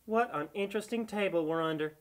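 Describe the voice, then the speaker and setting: A woman's voice: medium pitch, quick pace, close to an everyday voice. A woman voicing a chatty, forward, bluntly sweet female puppet in a sock puppet parody. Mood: sweetly forward.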